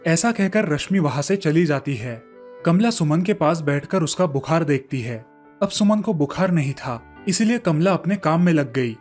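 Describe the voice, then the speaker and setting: Give the voice of a man telling a children's story from a script. His pitch sits a little high for a man. Light music plays softly behind him. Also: expressive, normal speed. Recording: faint music under the voice. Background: soft background music.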